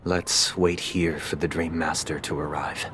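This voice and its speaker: A man voicing a solemn, composed young gentleman in a video game, formal and measured, with music behind him. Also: a bass voice, little pitch movement. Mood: solemn, reflective.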